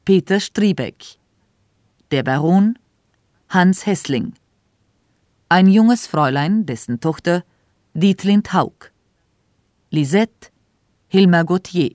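A woman's voice: on the low side, animated, darkish tone, dry recording, studio quality. A woman announcing the cast and credits of a radio play in a formal manner. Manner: slow, formal.

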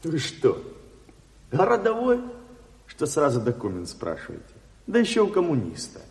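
An actor playing a cocky, wheedling Ukrainian swindler in a Soviet radio drama, with a quarrelsome drawl. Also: male voice, a little high, huge pitch swings, moderate pace, darkish tone. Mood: insolent. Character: brazen Ukrainian swindler posing as a revolutionary invalid. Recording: reverberant studio radio play recording.

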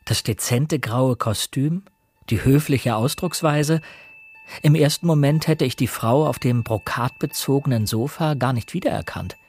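An actor playing an observant young gentleman who narrates a murder mystery in a measured, literary radio drama style. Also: medium pitch, a little hiss or hum, natural voice.

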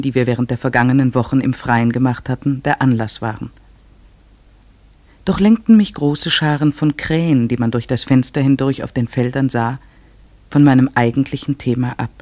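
An actor playing a reflective schoolteacher who narrates a German radio play in a measured, precise literary manner. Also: male voice, medium pitch, darkish tone, no room sound, natural voice. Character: male village schoolteacher narrator recalling strange events, measured and reflective.

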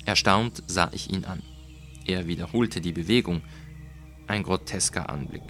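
Low-pitched man narrating a dark tale. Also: moderate pace. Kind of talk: dramatic literary audiobook narration. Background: music.

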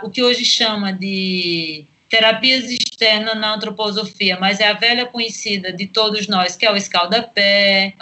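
A woman's voice: medium pitch, sharp tone. A Brazilian woman giving practical, didactic explanations as an expert guest in an interview, going point by point.

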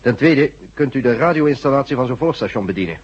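Fast-talking commanding rebel chief. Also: male voice, mid-range voice, animated, breathy edge. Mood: businesslike. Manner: curt, authoritative, given to cursing. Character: brisk, commanding leader of a ragged rebel troop. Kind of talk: Dutch science-fiction radio drama acting. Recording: muffled old radio drama recording.

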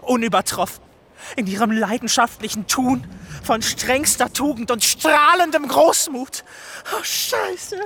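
An actor playing a bullying, self-dramatizing teenage soldier in a radio drama, declaiming bombastically. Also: male voice, very high-pitched, measured pace, sharp tone, slight background noise, full character voice. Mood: wrathful, declaiming.

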